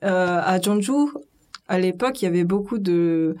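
Young woman, from France, chatty, slangy, fillers, a bit low-pitched. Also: moderate pace, darkish tone. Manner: chatty, loose slangy speech full of fillers. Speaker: interviewee. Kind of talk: casual conversation.

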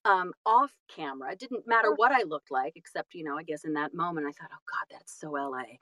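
Woman chatting in an interview, lowish voice, self-deprecating, repeats for emphasis, really dry recording. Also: a little fast, fairly bright tone, dry recording. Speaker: guest, audiobook narrator and actress. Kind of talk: casual interview storytelling.

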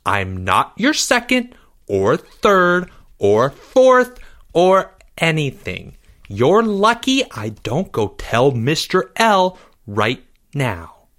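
A man voicing a cautious, rule-abiding schoolboy in a storybook, speaking in a firm, deliberate and unimpressed way. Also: medium pitch, huge pitch swings, bright, forceful tone, full character voice. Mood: flat refusal.

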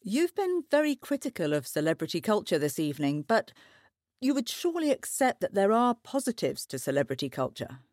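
A woman's voice: mid-range voice, sing-song, a little fast, darkish tone, no room sound, close to an everyday voice. Woman acting tv news host, composed but really lilting, formal questions, dry studio sound. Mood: composed, probing. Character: composed, probing female news anchor. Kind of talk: broadcast news interview.

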